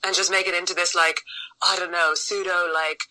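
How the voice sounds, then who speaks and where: A woman's voice: lowish voice, little pitch movement, fairly quick, sharp tone, poor audio. A woman from Ireland, a researcher guest on a podcast, talking in a chatty way over a remote call and full of fillers. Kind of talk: casual interview chat. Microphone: remote video call.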